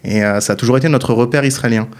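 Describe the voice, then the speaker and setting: A man in his thirties from France, a guest answering interview questions calmly and matter-of-factly. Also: mid-range voice, fast. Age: 30s.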